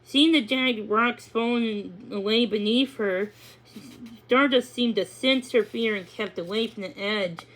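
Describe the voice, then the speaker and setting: Woman w a fairly high voice reading a kids storybook out loud, stumbles on words sometimes. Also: a little slow, low-quality recording. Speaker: reader of a children's story. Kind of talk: read-aloud storybook narration with acted dialogue.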